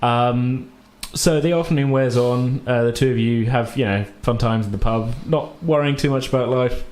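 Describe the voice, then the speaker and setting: A man's voice: mid-range voice, normal speed, darkish tone. A British man running a tabletop game as game master, talking in an informal, jokey, rambling way. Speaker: game master and podcast host. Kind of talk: casual tabletop game narration. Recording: roomy echo with background noise.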